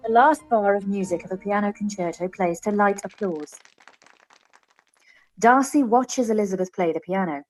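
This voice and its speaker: Woman narrating scene directions for a radio drama, normal mid pitch. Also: normal speed, faint music behind, rough recording. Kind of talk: scripted stage-direction narration for a radio play.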